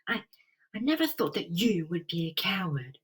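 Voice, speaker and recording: A man's voice: high voice, big pitch swings, normal speed, distant mic, pitched above the performer's own voice. A man voicing a devoted, anxious young woman in a pleading, emotional comic-book reading. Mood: urgent, reproachful. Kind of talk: dramatic comic-book voice acting.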